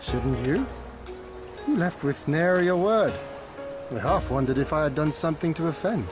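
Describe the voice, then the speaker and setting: An actor playing a solemn, earnest leader of a liberated homeland in a video game cutscene. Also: male voice, on the high side, lively intonation, moderate pace, music in the background, close to an everyday voice. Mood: urgent, teasing.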